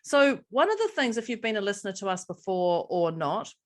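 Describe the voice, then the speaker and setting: A woman's voice: medium pitch, sing-song, brisk. A woman with a New Zealand accent co-hosting a podcast in casual chat, bubbly and laughing often. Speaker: podcast co-host.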